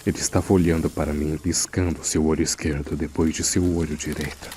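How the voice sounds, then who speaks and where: A man's voice: a bass voice, little pitch movement, brisk, darkish tone, slight background noise. A man playing an uneasy morgue assistant, narrating a horror audio drama in a grave, suspenseful manner. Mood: tense. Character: uneasy male morgue assistant recounting a frightening secret. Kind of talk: scripted first-person horror narration.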